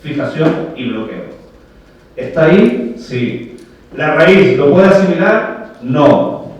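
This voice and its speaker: A man's voice: a little high, very animated, slow. A man from Chile with a Chilean Spanish accent, giving a technical conference talk and often checking in with "¿vale?" and rhetorical questions to the audience. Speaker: agronomy speaker. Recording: very echoey hall, voice heard from far off.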